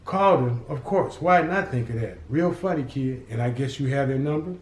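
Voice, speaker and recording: A man voicing a calm, loyal henchman who keeps his boss cool and jokes dryly with a kid. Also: medium pitch, moderate pace, lightly acted. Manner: easygoing, placating, dry humor. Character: calm loyal middle-aged male henchman. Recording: roomy echo.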